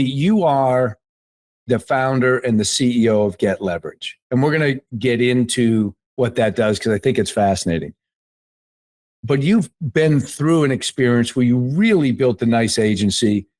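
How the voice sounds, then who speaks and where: Man hosting, mid-pitched voice. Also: darkish tone. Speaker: podcast host. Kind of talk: conversational interview.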